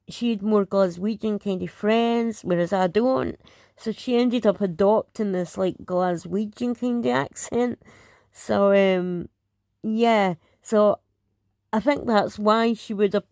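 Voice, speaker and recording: A woman's voice: medium pitch, slow, a little mellow, no echo, clean studio sound. A woman with an Edinburgh Scottish accent, chatting casually while reacting to a video, rambling with lots of fillers. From Scotland. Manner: rambling, many fillers and restarts.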